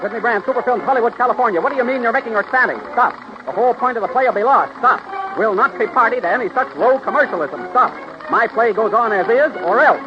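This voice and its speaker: A man's voice: very high voice, fast talker. A man playing an earnest character in a 1930s Hollywood radio comedy. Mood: indignant. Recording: dull 1930s radio recording with music.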